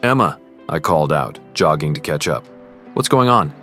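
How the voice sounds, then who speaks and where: A man's voice: rumbling low, sing-song, moderate pace, a bit bright, heavily acted. A man playing an earnest, privileged teenage boy who confides and narrates in an amateur romance drama. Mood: troubled. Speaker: character and first-person narrator. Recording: music behind the voice.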